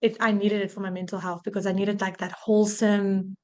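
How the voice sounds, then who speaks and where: A woman's voice: mid-range voice, flat delivery, fairly quick. A young woman with a South African accent, chatting casually as a podcast guest in a blunt, frank, sweary manner. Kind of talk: casual chat with a friend.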